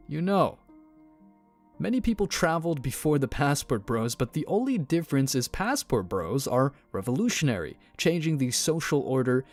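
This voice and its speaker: A man reading scripted commentary voice-over in a measured, sardonic manner. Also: mid-range voice, a little mellow. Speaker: channel narrator.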